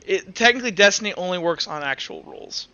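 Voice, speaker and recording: A man's voice: high-pitched, animated, a little fast, sharp tone, smooth, lo-fi sound. A man acting as game master, casually running an online tabletop game and thinking aloud as he goes.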